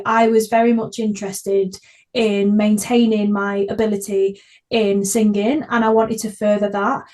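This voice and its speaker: Woman hosting a chatty podcast, voice on the higher side, gushing and keen. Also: a little mellow. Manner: enthusiastic, effusive. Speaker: podcast host. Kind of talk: casual podcast chat.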